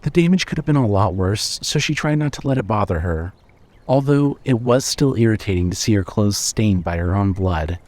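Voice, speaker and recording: Measured male story narrator. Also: on the low side, expressive, darkish tone. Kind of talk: scripted fiction narration.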